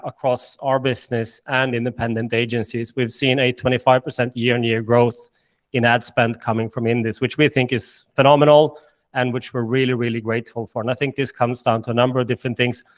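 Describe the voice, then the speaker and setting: A man's voice: medium pitch, fairly even intonation, a little fast. A man with a Swedish accent presenting a business webinar in an upbeat way, with many fillers and restarts. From Sweden and Finland. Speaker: managing director presenting.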